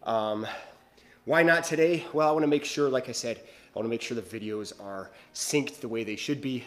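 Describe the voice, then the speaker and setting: A man's voice: mid-range voice, moderate pace. A male bodybuilder vlogging to his viewers in casual chat, rambling and hesitant, with lots of fillers and restarts.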